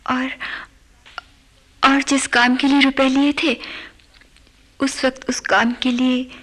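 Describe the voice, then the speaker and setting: A practical, fretful wife in a radio drama. Her voice is high and sharp-edged, and she speaks slowly, with careful hesitation. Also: little pitch movement, heavily acted. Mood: apprehensive. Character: practical, worried wife fretting over household money. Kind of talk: radio drama acting in Hindi.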